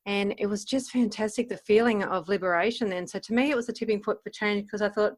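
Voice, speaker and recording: A woman's voice: mid-range voice, fast. Candid middle-aged woman giving interview answers. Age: around 50. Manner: candid, informal, often voices own thoughts as quotes. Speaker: life coach and podcaster being interviewed.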